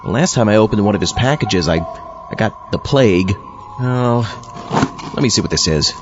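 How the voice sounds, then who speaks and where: A man's voice: fairly low pitch, expressive, unhurried, highs cut off, over music. A man playing an easygoing zombie astronaut who hosts an old-time radio show, chatty and muttering to himself in a comedic monologue. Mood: musing, curious. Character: easygoing male zombie astronaut hosting from his underground lair. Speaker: podcast host.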